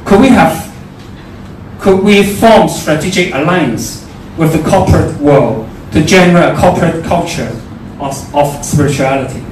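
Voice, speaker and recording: A man's voice: on the high side, a little slow. A man with a Hong Kong accent giving a prepared, formal speech at a forum. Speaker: forum speaker. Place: large echoey indoor room at a forum. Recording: very echoey room, distant mic, faint noise.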